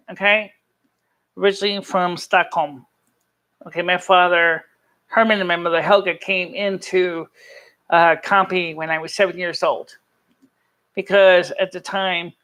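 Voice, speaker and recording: A live stream host chatting casually and telling personal stories in an earnest, halting, rambling way. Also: mid-range voice, fairly bright tone. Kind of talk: casual chat to a live chat audience, personal storytelling.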